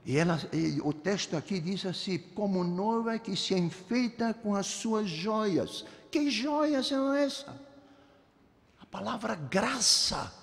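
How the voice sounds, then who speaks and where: An older man in his seventies preaches in a slow, deliberate way, with a voice that sits somewhat high for a man. He is emphatic, dropping to soft low phrases and then lifting into high, ringing exclamations. His voice rings out with a long reverb in a church sanctuary. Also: vocal fry. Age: 70s. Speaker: pastor. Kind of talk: sermon.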